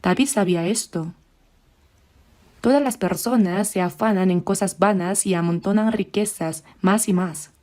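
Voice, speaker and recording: Mellow-voiced woman preaching. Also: medium pitch. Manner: measured, slows down for scripture readings. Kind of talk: sermon. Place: church.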